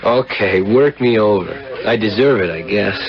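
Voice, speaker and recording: A man's voice: fairly low pitch, normal speed, a crowd in the background, close to an everyday voice. An actor playing a cynical, slangy womanizing car dealer in a 1970s radio drama. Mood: casual, guarded. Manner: hip slangy, wry. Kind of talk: 1970s radio drama acting with first-person narration. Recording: muffled old radio broadcast, music behind.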